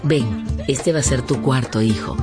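A woman's voice: extremely low pitch, moderate pace, over loud music. An actress playing a tender, doting mother of a family in a radio drama. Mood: welcoming. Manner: tender, fussing, expressive.

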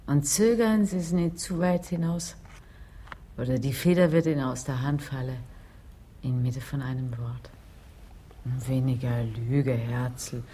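Slow, dark-voiced lady Death. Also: extremely low pitch, slightly rough, heavily acted. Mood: mocking. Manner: measured, mocking, condescending. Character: Death as a lady, coolly sardonic and world-weary. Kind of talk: radio drama acting.

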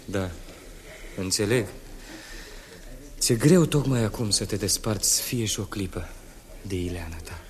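An actor as a lively, regal old-time emperor. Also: male voice, pauses often, natural voice. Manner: stately, booming commands. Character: regal emperor, lovesick and commanding. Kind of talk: 1960s radio drama acting.

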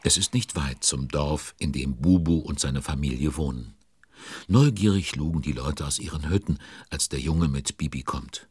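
A man's voice: extremely low pitch, normal speed, a little mellow, breathy edge, no room sound. A man acting as the kindly narrator of a children's audio play, telling the story in a cosy, confiding way. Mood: intrigued.

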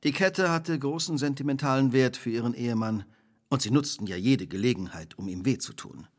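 An actor playing a shrewd, relentless detective in a radio drama, speaking precisely, formally and theatrically. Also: male voice, medium pitch, natural voice. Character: shrewd, relentless male detective laying out a crime.

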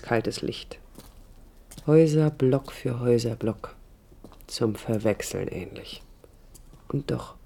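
A woman's voice: extremely low pitch, gravelly, a little mellow, husky, heavily acted. An actress playing a young, idealistic woman architect who recalls her life in an intimate, literary radio play monologue, reflective and critical. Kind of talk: 1980s radio play inner-monologue narration.

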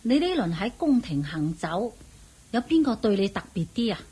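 A woman's voice: mid-range voice, sing-song, moderate pace, warm tone, slightly rough. A woman with a Hong Kong Cantonese accent playing a motherly, scheming palace cook in a radio drama, chatty and coaxing.

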